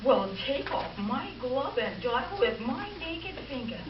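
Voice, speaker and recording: Dim, brassy female switchboard sidekick played by an actress, high animated voice, vintage radio comedy, echoey stage. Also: slow pace, a crowd in the background, exaggerated voice. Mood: brassy, goofy. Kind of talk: 1940s radio comedy acting. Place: live stage show before an audience. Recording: echoey stage recording, distant, dull, audience noise.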